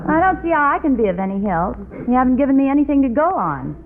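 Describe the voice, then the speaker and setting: Dry radio wife, high voice. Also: female voice, fast talker. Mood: dryly skeptical. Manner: calm, dry, gently skeptical. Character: patient, dryly teasing middle-aged American wife. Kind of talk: 1940s-50s radio sitcom acting. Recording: tinny, very noisy old radio recording.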